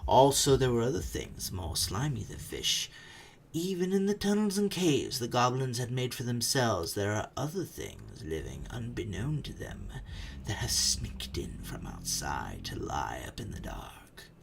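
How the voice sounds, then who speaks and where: A storybook narrator with a mid-pitched voice that swings widely for drama. The reading is expressive and suspenseful, dropping to a hush at phrase ends, with faint noise behind it. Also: a little slow, vocal fry.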